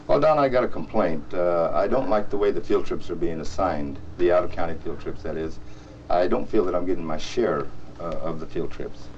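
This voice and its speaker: A man playing a disgruntled bus driver, halting and grumbling, in a training film. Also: low voice, a little mellow. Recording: distant, dull, noisy film sound.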